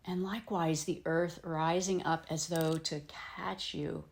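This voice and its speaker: A woman teaching yoga nidra, leading a guided meditation in gentle, soothing phrases with frequent pauses. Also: low-pitched, steady tone. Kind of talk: guided meditation, slow instruction with long pauses.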